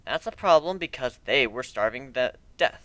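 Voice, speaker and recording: Man as griffin adventurer, brash, sardonic, blunt, tense forceful tone, dry sound, storybook drama. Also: mid-range voice, animated, moderate pace, no echo. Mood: negotiating. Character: brash, cocky, sarcastic male griffin adventurer.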